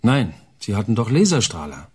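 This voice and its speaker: Ordinary anxious father, hesitant, husky low voice, plain delivery, radio play. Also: very animated, normal speed, heavily acted. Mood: shaken recounting. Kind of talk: German radio play acting.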